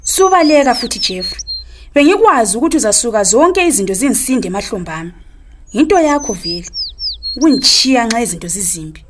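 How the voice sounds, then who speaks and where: A woman's voice: a little high, very animated, quick pace, background noise. A woman with a Zimbabwean accent acting a wronged, fed-up wife in a radio drama, probing and reproachful. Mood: indignant.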